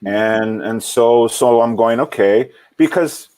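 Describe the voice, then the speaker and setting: A man from Hawaii, an opera singer, talking reflectively in an interview with many halting restarts and repeated words. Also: medium pitch, darkish tone. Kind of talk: reflective casual interview talk.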